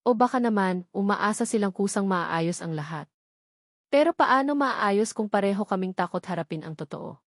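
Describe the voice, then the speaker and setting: A woman's voice: mid-range voice, animated, moderate pace, no echo. Woman narrating romance drama, dry studio sound. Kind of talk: dramatic first-person scripted story narration.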